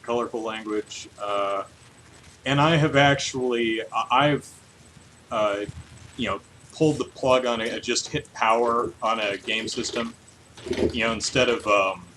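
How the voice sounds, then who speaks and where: A man in his thirties with a mid-pitched voice chats casually as a podcast host. His delivery is animated and self-deprecating. Some background noise sits behind him. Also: a little slow, rough recording. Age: 30s. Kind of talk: casual chat. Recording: clear steady background noise.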